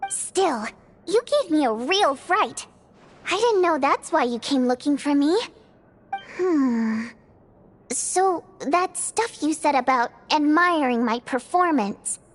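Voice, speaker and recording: A high, lively young performer. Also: female voice, slow. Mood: curious. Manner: animated, questioning, wary. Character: lively young woman performer, wary and curious. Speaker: game character. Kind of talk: game voice acting.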